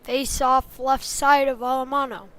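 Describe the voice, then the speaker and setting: A woman's voice: sits high, unhurried, clean tone. A woman doing live play-by-play commentary of youth ice hockey in short clipped phrases. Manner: short clipped phrases, excited on big plays.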